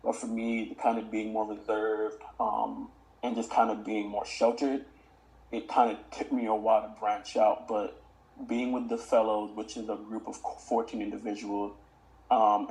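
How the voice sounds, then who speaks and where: A man in his twenties, born in Uganda and raised in the Washington DC area, speaking as a podcast guest in a measured, reflective way with frequent "um"s. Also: on the low side, darkish tone, poor audio. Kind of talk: casual interview talk.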